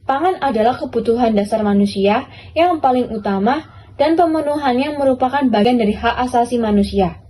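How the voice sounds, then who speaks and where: A young Indonesian woman reads a formal explainer for a student podcast. Her voice is soft-edged and fairly high, with an Indonesian accent. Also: animated, a little fast. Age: teenager or young adult. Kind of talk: scripted, formal explanatory reading.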